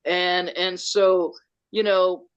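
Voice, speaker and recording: A man running a conference, talking excitedly and rambling with lots of restarts over a video call. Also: sits high, very slow. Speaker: conference organizer. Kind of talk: casual video call chat. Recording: dull lo-fi call audio.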